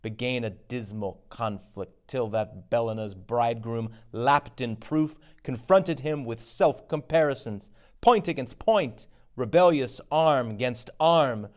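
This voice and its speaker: A man's voice: mid-range voice, close to an everyday voice. A man playing a noble lord bringing war news in a stage tragedy, formal and declamatory. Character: noble Scottish thane bringing news of victory.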